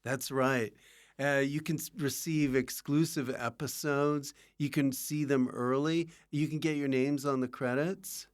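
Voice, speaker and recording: A man hosting a podcast in a casual, comic way, with an offbeat, halting manner. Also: medium pitch, fairly even intonation. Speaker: podcast host.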